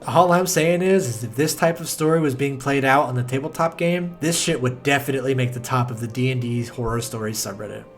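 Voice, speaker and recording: A man's voice: medium pitch, fairly quick, few pauses, faint music behind. A man narrating a film review video essay in a sardonic, exasperated way, swearing freely.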